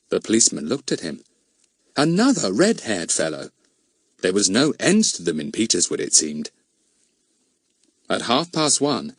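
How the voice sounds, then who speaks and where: A man reading a children's story as an audiobook narrator, in an expressive storytelling delivery. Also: fairly low pitch, no room sound.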